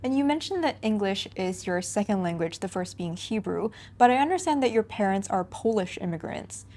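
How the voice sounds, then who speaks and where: A woman's voice: medium pitch, expressive, fairly quick, darkish tone. A woman interviewer asking curious, polite questions.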